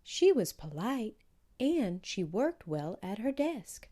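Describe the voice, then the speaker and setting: A woman voicing a caring, thoughtful schoolteacher in a storybook, in a soft, measured, wondering manner. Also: mid-range voice, sing-song, voice pushed up. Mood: admiring. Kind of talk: children's storybook read-aloud.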